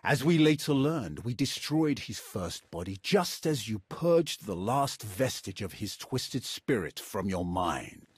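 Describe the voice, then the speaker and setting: An actor playing a grave, formal warrior in a video game, speaking with solemn deliberation. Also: male voice, on the low side, sing-song, measured pace, hardly any pauses, breathy edge, dry recording, studio quality, heavily acted. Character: grave, dutiful male Sith warrior ally, menacing and formal. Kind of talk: video game cutscene acting.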